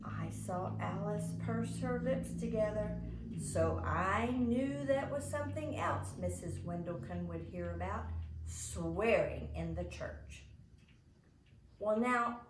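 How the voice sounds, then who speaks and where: A woman reading a children's story aloud as an expressive storyteller, in a home recording. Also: medium pitch, slow. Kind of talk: expressive children's audiobook narration. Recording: roomy home recording, faint background noise.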